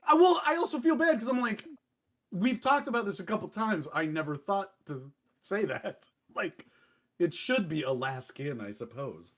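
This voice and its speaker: Male podcast host, higher-pitched and very animated, casual, jokey and self-deprecating, loose with swearing. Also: normal speed. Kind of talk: casual chat.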